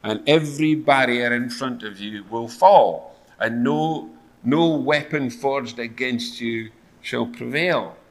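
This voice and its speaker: A man's voice: medium pitch, slow. A man in his fifties preaching in a conversational storytelling style with wry asides and rhetorical questions. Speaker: church preacher. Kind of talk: sermon with storytelling and acted-out dialogue. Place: church hall, speaking to a seated congregation. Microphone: lectern microphone and lapel mic.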